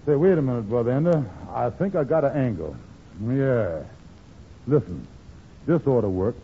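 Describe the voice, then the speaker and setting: A man's voice: mid-range voice, lively intonation, moderate pace, lightly acted. An actor playing a blustery middle-aged male schemer in an old radio comedy, wheedling in folksy dialect grammar. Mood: scheming. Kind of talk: 1940s-50s radio comedy acting. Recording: muffled, hissy old radio recording.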